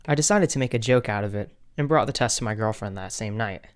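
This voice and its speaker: A man's voice: lowish voice, fast, clear, resonant tone, lightly acted. A man voicing a betrayed young man who tells his family drama wryly, in first-person cartoon story narration. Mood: stunned, wry.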